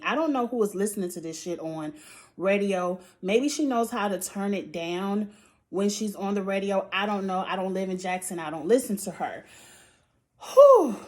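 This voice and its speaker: A woman with a noticeably high voice chats freely and bluntly about a reality show, sighing and laughing as she goes. Her delivery is animated and conversational, like a talkative vlogger speaking straight to her viewers. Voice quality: high-pitched voice. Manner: animated, outspoken, frequent laughs and sighs. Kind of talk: casual opinionated chat.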